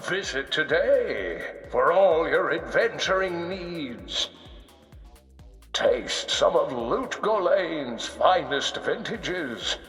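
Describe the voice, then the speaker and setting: An actor playing a kindly old scholar in a video game, making slow, solemn, wry announcements with a creaky, weathered voice. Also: male voice, on the high side, animated, fairly bright tone, exaggerated voice. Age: older. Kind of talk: scripted game announcer voice lines. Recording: echoing hall reverb, music behind.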